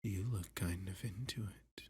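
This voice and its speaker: A man playing a dominant, flirty, possessive villain kidnapper in an ASMR roleplay, teasing and seductive, with a breathy, husky voice that often drops to a whisper. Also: low-pitched, a little mellow, full character voice. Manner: slow, teasing, seductive, with pet names. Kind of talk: ASMR roleplay addressed to the listener.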